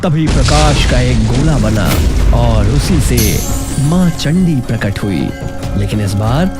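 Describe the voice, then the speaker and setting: A man's voice: mid-range voice, animated, takes it slowly. A man narrating a scripted children's story in a dramatic style. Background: loud background music.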